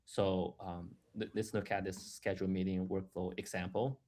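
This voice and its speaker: Halting, low-voiced man presenting software. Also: flat delivery. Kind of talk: live product demo, explanatory walkthrough.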